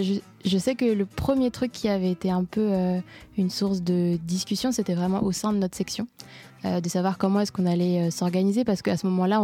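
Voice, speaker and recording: Young female student, soft dark tone, slightly low pitch, informal testimony over quiet music. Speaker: theatre school student in an occupation. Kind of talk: spontaneous documentary testimony.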